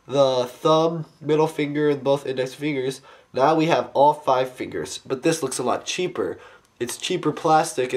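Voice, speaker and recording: Young male reviewer, unscripted and loose, filler-heavy delivery, average-pitched voice. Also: a little mellow. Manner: rambling, many fillers, enthusiastic asides. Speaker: toy reviewer. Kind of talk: casual unscripted toy review. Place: indoors, carpeted room.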